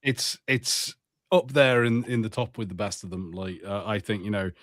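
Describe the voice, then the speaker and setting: A man co-hosting a film podcast, chatting casually and telling things in an animated way with mock impressions. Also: fairly low pitch, moderate pace, dry recording, studio quality. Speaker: podcast co-host. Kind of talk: casual banter on a film watch-party podcast.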